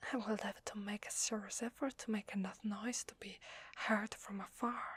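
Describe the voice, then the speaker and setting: A woman with an Italian accent playing a shy, secretly needy vampire lady in a hesitant, breathy whisper for an ASMR roleplay. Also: fairly high pitch, heavily acted. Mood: shy, apologetic. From Italy. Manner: hesitant, polite, soft-spoken. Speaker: ASMR voice actor.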